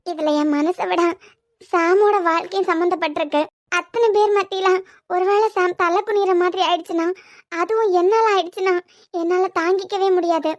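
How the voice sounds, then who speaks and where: A woman's voice: extremely high pitch, steady tone, normal speed. A woman playing a tearful young woman in love, pleading with the man she loves. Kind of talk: romantic audio drama acting.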